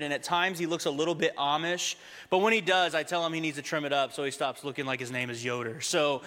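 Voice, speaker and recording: Young male preacher, bright tone, chatty and funny, big reverberant room. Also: sits high. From Texas. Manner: conversational, humorous, slows down when reading scripture. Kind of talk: sermon with storytelling. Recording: long hall reverb.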